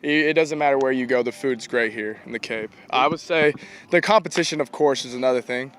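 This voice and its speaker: A young man, a college ballplayer giving casual, informal interview answers with halting repetitions. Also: mid-range voice, fairly bright tone. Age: young adult. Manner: informal, halting, repeats himself. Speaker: college baseball player being interviewed. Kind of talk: casual post-game style interview answers. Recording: clear outdoor ambient noise.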